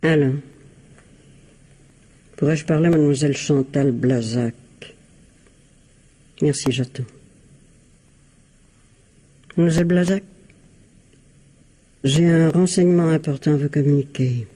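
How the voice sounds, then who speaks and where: A scheming middle-aged woman in a vintage radio thriller. Her voice is low, dark and husky. She speaks with poise, insinuating and giving orders under a show of motherly kindness. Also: a little slow, long pauses. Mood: cool, secretive. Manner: poised, insinuating, bossy, feigning motherly concern. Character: scheming, falsely kind middle-aged lady who manipulates others. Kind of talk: vintage French radio mystery acting.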